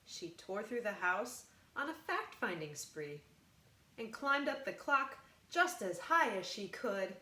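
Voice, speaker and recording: A woman reading a rhyming children's picture book aloud, expressively, with deliberate, emphatic phrasing. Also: medium pitch, big pitch swings, pushed and bright. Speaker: volunteer storybook reader. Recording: roomy, echoing room sound.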